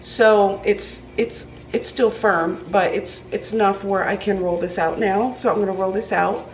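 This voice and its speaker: A woman's voice: medium pitch, steady tone, unhurried, slight background noise, low-quality recording. A woman vlogging casually in her home kitchen, nasal from a cold, rambling with lots of ums as she bakes. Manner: rambling, with many ums and restarts. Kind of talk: casual chatty cooking vlog. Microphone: camera propped on the counter.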